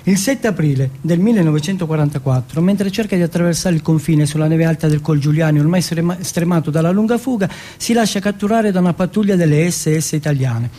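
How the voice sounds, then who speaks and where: Man, slightly high pitch, dark relaxed tone, deliberate delivery, storytelling monologue. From Turin, Italy. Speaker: monologue performer. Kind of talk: theatrical autobiographical monologue, narrative storytelling.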